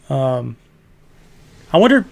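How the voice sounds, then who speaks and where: A man's voice: medium pitch, vocal fry. A man in his fifties hosting a casual livestream chat, rambling and laughing often. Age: 50s. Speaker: host.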